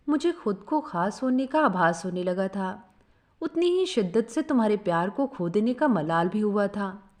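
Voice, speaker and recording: A woman narrating a scripted first-person story in an expressive, sentimental storytelling manner. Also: mid-range voice.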